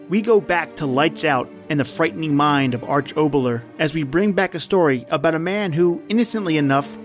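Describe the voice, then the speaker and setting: A man hosting a horror podcast, reading a scripted introduction with theatrical, emphatic delivery. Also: on the high side. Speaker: podcast host.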